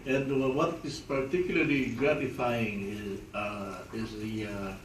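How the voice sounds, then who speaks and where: A man speaking as a consul general, giving formal thanks with many hesitant filler pauses. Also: medium pitch, fairly even intonation, slight background noise. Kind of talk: formal thank-you remarks. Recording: echoey room, distant mic.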